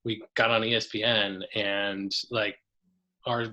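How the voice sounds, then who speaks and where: A man's voice: on the low side, flat delivery, slow, pushed and bright, muffled recording. Rambling, enthusiastic man on a podcast. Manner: rambling, restarts sentences, enthusiastic. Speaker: podcast guest, coach. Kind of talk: casual podcast conversation.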